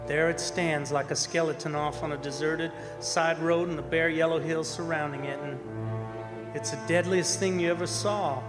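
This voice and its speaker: A male actor plays a roughneck from the oil rigs, haunted and defensive, in a live radio horror play. His voice sits on the high side and he halts and repeats himself as he spins the eerie story. The hall rings around him, and music plays behind. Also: fairly even intonation, close to an everyday voice. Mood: tense storytelling. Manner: folksy, halting, repeating words for suspense. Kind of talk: live radio drama acting, first-person horror narration. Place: performing arts center stage. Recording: stage performance in reverberant hall, music behind.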